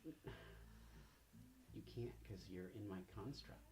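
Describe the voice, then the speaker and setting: A man playing a stubborn, smug little girl with strange powers in a tabletop game, speaking haltingly and reluctantly. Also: lowish voice, warm tone, a little hiss or hum, low-quality recording.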